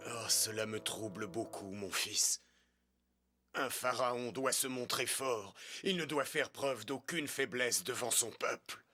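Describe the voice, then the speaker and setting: An actor playing an old, dying Egyptian pharaoh, a stern and disappointed father, in a dubbed animated film. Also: medium pitch, slow, soft background music. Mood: solemn.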